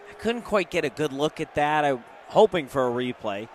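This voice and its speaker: Man, American accent, basketball analyst on a live broadcast, moderately high voice, light arena noise behind. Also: animated, normal speed. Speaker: basketball color commentator. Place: courtside in a large indoor arena.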